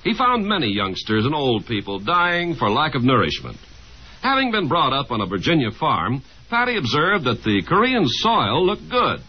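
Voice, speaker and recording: A man's voice: medium pitch, wildly swooping pitch, moderate pace, a little hiss or hum. A man reading scripted narration as an announcer in a 1950s radio style. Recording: muffled 1950s radio broadcast.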